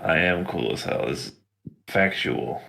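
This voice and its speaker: A man's voice: rumbling low, expressive, a little slow, gravelly, pushed and bright. A young man chatting casually and joking as a player in a tabletop game, laid-back and confident. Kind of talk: casual chat while playing a tabletop game.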